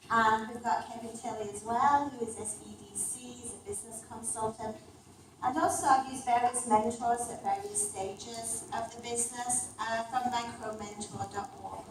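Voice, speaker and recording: A woman presenting her startup product at a community event, speaking briskly with some fillers. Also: fairly high pitch, noisy background. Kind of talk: business pitch. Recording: echoey room, mic at a distance.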